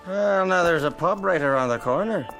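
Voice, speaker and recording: A man's voice: a little high, lively intonation, moderate pace, fairly bright tone, soft background music. An actor playing a genial, tipsy policeman in a radio drama. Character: genial, tipsy Irish policeman heading home.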